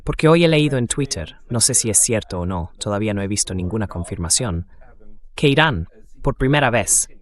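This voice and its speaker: A man's voice: lowish voice, animated, a little fast. A synthetic male voice-over reading a translated conversation about war and politics in an interview style.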